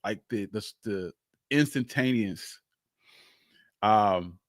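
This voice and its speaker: A man hosting a casual, unscripted live history stream, speaking candidly in a halting, rambling way. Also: fairly low pitch, no echo. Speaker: livestream host, history storyteller.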